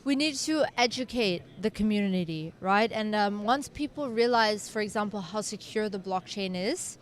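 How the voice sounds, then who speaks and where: A woman's voice: mid-range voice, animated, normal speed. A woman hosting an interview, agreeing with her guest and asking follow-up questions. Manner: affirming, asks questions. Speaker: interview host. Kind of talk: conversational interview.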